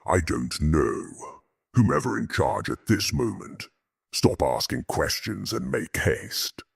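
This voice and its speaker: A man voicing a solemn alien jellyfish being, speaking in a slow, deep, gravelly, formal way. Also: big pitch swings, dry recording, studio quality, full character voice. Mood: impatient. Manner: stately, formal pronouncements.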